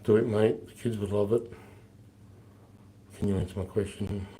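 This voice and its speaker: A man's voice: fairly low pitch, fairly even intonation, moderate pace, dark tone, slight background noise. A man, rambling bluntly and swearing as he answers viewer questions on a live stream, his voice a little off from a flu. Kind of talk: casual live Q&A chat.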